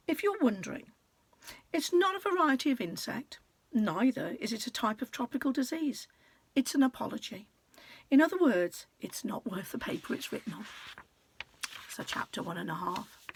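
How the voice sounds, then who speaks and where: A woman reading a children's book aloud like a teacher, in an expressive, conspiratorial and playful storytelling manner with hushed, confiding phrasing. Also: on the high side, husky. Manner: conspiratorial, playful, dropping into hushed near-whispers.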